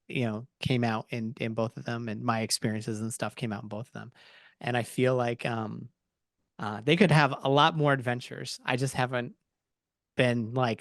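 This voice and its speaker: Male author in podcast discussion, medium pitch, dry sound, agreeable, reflective, slightly hesitant delivery. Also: fairly bright tone, no room sound.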